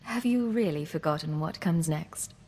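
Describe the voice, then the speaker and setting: A woman's voice: low-pitched, expressive, moderate pace, a little mellow. A woman playing a cool, wry Imperial agent, guarded and probing in scripted game dialogue. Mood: wry, teasing. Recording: noisy game capture with long reverb.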